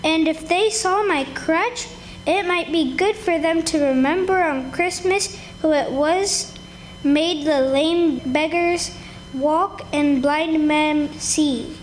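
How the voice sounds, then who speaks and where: A woman's voice: high voice, slow pace, a little hiss or hum. A woman playing a sweet, pious, frail little boy in a live radio play, innocent and earnest.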